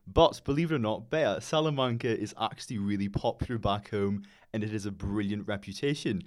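A man's voice: fairly low pitch, fairly quick. A young man with a Northern Irish accent, a guest on a radio interview, talking in an upbeat, chatty and polite way. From Northern Ireland. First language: English. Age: young adult. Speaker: radio guest, student teacher.

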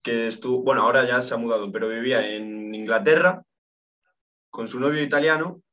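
A man's voice: medium pitch, fairly quick, pushed and bright, highs cut off, soft background music. A young man in his twenties, chatting easily and agreeing readily. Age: 20s. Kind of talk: casual conversation.

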